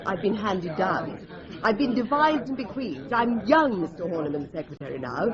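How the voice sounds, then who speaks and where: An actress playing a brisk, dryly witty secretary in a British radio drama. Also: female voice, mid-range voice, expressive, dull audio, crowd noise, natural voice. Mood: brisk, wry. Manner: brisk, dry.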